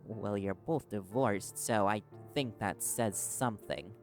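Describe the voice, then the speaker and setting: A man's voice: fairly low pitch, unhurried, over music. A man playing a stubborn, wary male reporter held captive in an audio drama, speaking in a hesitant yet defiant way. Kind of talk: audio roleplay drama acting.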